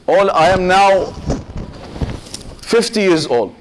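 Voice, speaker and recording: A man, a sheikh giving a Muharram sermon, speaking in short measured phrases with pauses, addressing listeners directly and dropping Arabic religious phrases into his English. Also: sits high, lively intonation, a little hiss or hum, poor audio. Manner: addresses listeners directly and asks rhetorical questions.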